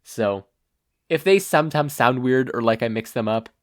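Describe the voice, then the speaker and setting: A man reading stories aloud as an expressive podfic narrator. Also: medium pitch, normal speed. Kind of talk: expressive fan fiction narration.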